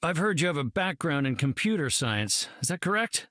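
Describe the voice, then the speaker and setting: An animated, articulate scientist hero. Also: male voice, on the high side, normal speed, no room sound, clean studio sound. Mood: pedantic. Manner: articulate, formal, matter-of-fact. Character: earnest, self-assured brilliant male scientist hero.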